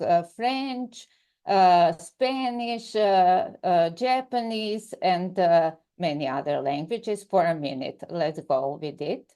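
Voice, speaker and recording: A woman with a Croatian accent presents in English over a video call. Her voice is warm and mellow at a middling pitch. She hesitates often, filling gaps and restarting phrases as she goes. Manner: hesitant, many filler sounds and restarts. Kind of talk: online presentation.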